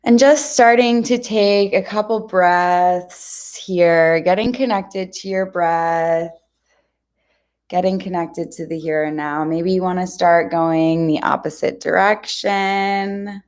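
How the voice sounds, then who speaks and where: Slow-paced woman guiding a meditation. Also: lowish voice, steady tone. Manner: gentle, reassuring, informal. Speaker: guided meditation instructor. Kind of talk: guided mindfulness meditation, addressed to viewers.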